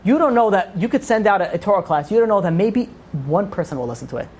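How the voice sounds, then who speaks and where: Rapid-fire woman teaching a religious class. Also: mid-range voice, lively intonation, darkish tone, breathy edge. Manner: emphatic, asks and answers own questions. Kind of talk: informal religious lecture to a group.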